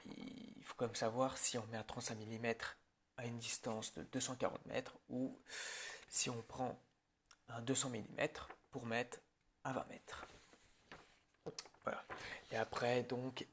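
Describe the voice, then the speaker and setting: A man improvising an ASMR roleplay as a film director, talking in a halting, rambling way that often drops toward a whisper. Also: mid-range voice, creaky, a bit bright, slight background noise.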